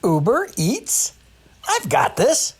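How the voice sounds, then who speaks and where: A lady acting confident woman in an ad, really husky, super swoopy delivery. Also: on the high side, huge pitch swings, takes it slowly, full character voice. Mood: questioning, confident. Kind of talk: radio commercial acting.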